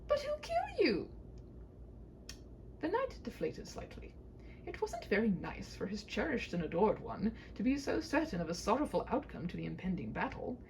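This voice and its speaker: A woman's voice: mid-range voice, wildly swooping pitch, a little fast, gravelly, darkish tone, a little hiss or hum, low-quality recording. A woman reading a humorous storybook aloud as narrator, with wry, theatrical delivery.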